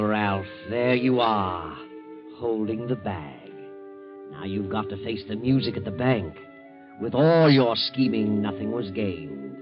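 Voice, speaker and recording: A low, sinister radio mystery narrator. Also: male voice. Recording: dull old 1940s radio, music behind.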